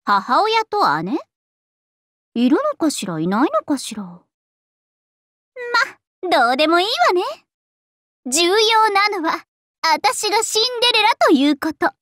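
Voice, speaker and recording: High-pitched, vain princess idol. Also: female voice, very animated, measured pace, long pauses, a bit bright, no echo, clean studio sound, heavily acted. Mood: haughty, excited. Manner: haughty, theatrical, playful, self-admiring. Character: vain, bubbly young princess idol singer, self-styled Cinderella. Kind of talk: Japanese anime mobile game voice acting.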